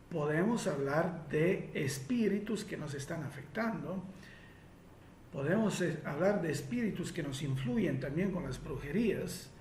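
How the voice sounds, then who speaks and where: A man's voice: on the high side, darkish tone, roomy sound, a little hiss or hum. A man from Italy speaking Spanish with an Italian accent, answering listener questions in a reflective way with frequent fillers and restarts.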